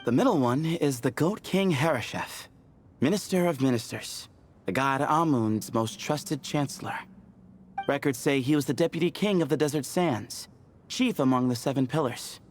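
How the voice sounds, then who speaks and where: A man voicing an easygoing, teasing young temple scholar in a video game, explaining lore in a clear, playful way. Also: medium pitch, normal speed, slight background noise, natural voice. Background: soft game music.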